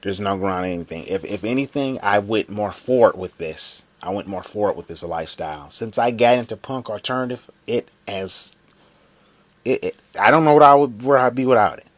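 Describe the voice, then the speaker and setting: A man's voice: lowish voice, brisk, dry recording. A man in his thirties ranting angrily to the camera in a vlog, swearing a lot and repeating himself. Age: 30s. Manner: angry, profane, repetitive ranting. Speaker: vlogger.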